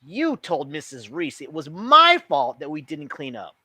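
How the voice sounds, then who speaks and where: A man's voice: a little high, huge pitch swings, a little slow, pushed and bright, breathy edge, no echo, exaggerated voice. A man voicing an upset, sulky young girl in a children's storybook. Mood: accusing.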